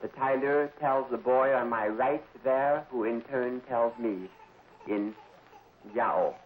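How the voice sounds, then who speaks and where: Plain-spoken doctor, man, mid pitch; muffled vintage film audio, light hiss. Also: slow pace. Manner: matter-of-fact explanatory. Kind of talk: archival documentary narration.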